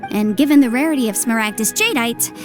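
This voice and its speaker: A woman's voice: fairly high pitch, quick pace, soft background music, close to an everyday voice. A woman voicing a confident young legal advisor in an anime game, precise, formal and courteous. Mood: analytical.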